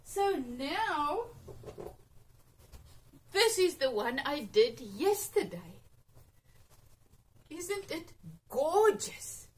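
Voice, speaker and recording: A chatty woman in her fifties with an Afrikaans accent talks through a sewing demo in a home workroom. Her voice sits high and swoops a lot, and she takes her time. She is picked up by a camera a few feet away. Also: very animated, extremely slow, pauses often. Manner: chatty and upbeat, with little exclamations. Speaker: sewing channel host. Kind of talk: casual sewing tutorial. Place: cluttered home sewing room, indoors. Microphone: camera on a table, a few feet off.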